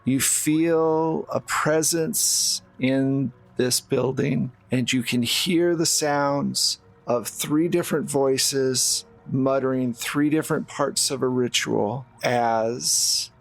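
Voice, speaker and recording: A man's voice: medium pitch, fairly even intonation, takes it slowly, vocal fry, few pauses. A man narrating a horror tabletop roleplaying game as the game master. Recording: music behind the voice.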